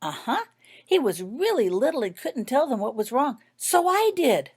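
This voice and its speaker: Lady reading as young witch schoolgirl in a story, earnest, excitable, bit shy. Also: high-pitched, moderate pace, breathy edge, pitched above the performer's own voice, full character voice. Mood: excited.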